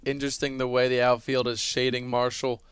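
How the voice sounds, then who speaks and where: A man with a crisp, resonant voice breaks down plays as a baseball color commentator. His delivery is explanatory and analytical, and the sound is very dry and free of room echo. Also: medium pitch, monotone, brisk, a bit bright. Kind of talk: live sports analysis commentary.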